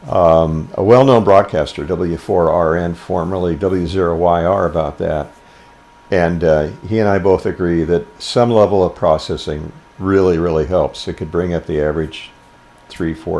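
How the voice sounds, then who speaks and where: An older American man giving an informal explanatory tutorial as an instructor, unhurried, with halting pauses. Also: deep voice, darkish tone. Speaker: ham radio instructor.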